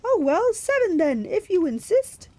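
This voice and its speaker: Woman doing coy, squeaky-high falsetto fake bride. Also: sing-song, warm tone, full character voice, low-quality recording. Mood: pleading, placating. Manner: coy, sweetly placating, drawn-out words. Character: frightened young male captive posing as coy monster's bride. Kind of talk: audiobook-style character voice in a fantasy story.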